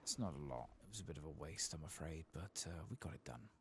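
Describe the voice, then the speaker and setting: A man softly murmuring casual, unhurried ASMR commentary over a video game, sometimes whispering, close to the microphone. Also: rumbling low, animated, gravelly, noisy background. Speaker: gaming ASMR streamer.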